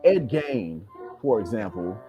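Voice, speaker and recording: A man's voice: on the low side, sing-song, slow, dull audio, music in the background. A man chatting casually as a guest on a show. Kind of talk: casual chat.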